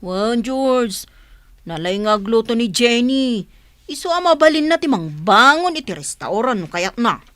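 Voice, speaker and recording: A woman's voice: a little high, animated, measured pace, fairly bright tone, dry recording. Woman playing chatty Ilocano friend, dry recording. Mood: friendly. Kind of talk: radio drama acting.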